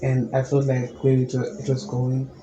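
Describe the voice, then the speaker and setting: A young man with a low voice, a musician answering interview questions in a calm, measured way. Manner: calm, measured, explains at length. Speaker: musician guest. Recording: echoey room with background noise.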